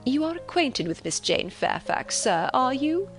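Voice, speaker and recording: A woman voicing a fussy, gentle old gentleman who talks on courteously and fretfully in an audiobook. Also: sits high, sing-song, measured pace, pitched above the performer's own voice. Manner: courteous, fretful, long-winded. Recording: relaxing music behind the voice.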